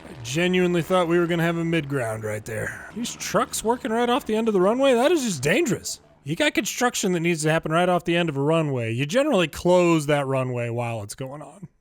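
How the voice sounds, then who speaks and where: A man's voice: a little high, sing-song, brisk. An American man giving casual, folksy gameplay commentary and explanations as a former controller hosting a gaming video.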